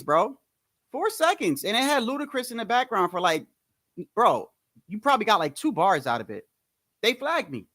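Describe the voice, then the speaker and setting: A man with a New Jersey accent and a high-pitched voice, hosting a casual boxing livestream in a rambling, slangy way, repeating himself often. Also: bright, forceful tone, no echo, studio quality. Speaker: boxing commentary livestream host.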